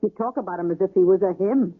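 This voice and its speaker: An actress playing a frank, curious, gossipy hospital nurse with an easygoing manner in a 1970s radio drama. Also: female voice, medium pitch, rapid-fire, breathy edge, lightly acted. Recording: tinny old radio broadcast recording.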